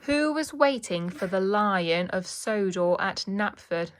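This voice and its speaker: A woman's voice: mid-range voice, expressive, slow pace, slight background noise. A woman reading a children's story aloud as narrator and quiz host.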